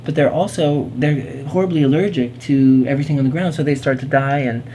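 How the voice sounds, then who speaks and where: A woman's voice: rumbling low, a little fast, dark tone, a little hiss or hum. A woman hosting a talk show in casual, playful chat, rambling along in a whimsical way.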